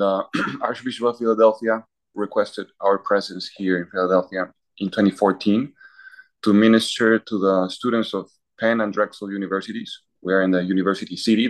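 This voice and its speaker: A man from Peru, a university chaplain priest answering interview questions in a thoughtful, example-filled way. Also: fairly low pitch. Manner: thoughtful, listing examples, clears throat.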